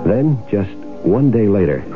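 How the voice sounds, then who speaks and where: Low-voiced, edgy radio drama schemer. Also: male voice, big pitch swings, a little slow. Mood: tense confiding. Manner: edgy, bossy, hard-boiled. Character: shifty, greedy man faking his death, anxious narrator. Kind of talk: 1970s radio drama, first-person narration and dialogue. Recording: dull vintage radio broadcast, music behind.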